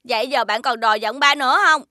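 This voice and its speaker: A woman's voice: fairly high pitch, fast, bright, forceful tone, dry recording, studio quality. A woman voicing a cheeky little magical companion with a teasing, perky way of talking.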